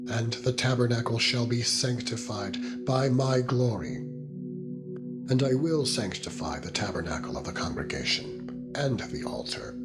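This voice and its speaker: A man narrating the Bible as a solemn, measured, formal scripted reading. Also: on the low side. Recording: loud music with ringing singing-bowl tones. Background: music and singing bowl tones.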